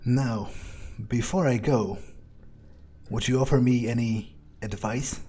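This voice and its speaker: A man roleplaying a blunt rookie vampire in a video game, with a husky voice and a measured, hesitant, conversational manner. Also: mid-range voice, warm tone, soft background music, close to an everyday voice. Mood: cautious, curious. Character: male rookie vampire turncoat, blunt, self-justifying.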